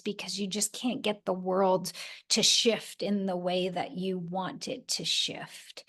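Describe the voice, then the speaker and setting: A woman hosting a podcast, explaining ideas in a casual, animated way. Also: mid-range voice. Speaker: podcast host.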